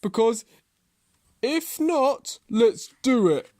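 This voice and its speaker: Woman putting on a slow, determined storybook tortoise. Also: on the high side, expressive, a little mellow, pitched above the performer's own voice. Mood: challenging. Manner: deliberate, drawn-out, firm phrasing. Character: steady, patient, determined slow old tortoise. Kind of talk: children's storybook character voice.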